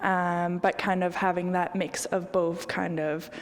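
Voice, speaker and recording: A woman's voice: on the low side, flat delivery, a little slow, in a large hall. A young woman artist speaking casually and reflectively in an interview, often saying "like" and "kind of". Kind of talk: reflective interview talk about own art.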